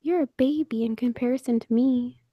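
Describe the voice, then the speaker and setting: A young woman hosts a loose, joking chat with friends, often sarcastic and quick to laugh. Her voice sits a little high, and the recording is dry and close with no room sound. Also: dry recording, highs cut off. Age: 20s. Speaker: host. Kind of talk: casual livestream chat with guests.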